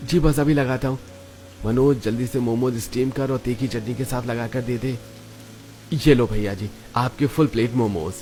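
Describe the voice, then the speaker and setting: A man's voice: medium pitch, fairly even intonation, moderate pace, a little mellow, a little hiss or hum, close to an everyday voice. A man voicing a poor, honest, earnest street food vendor in a cartoon story. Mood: obliging. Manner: earnest, pleading. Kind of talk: Hindi cartoon story dialogue. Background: background music.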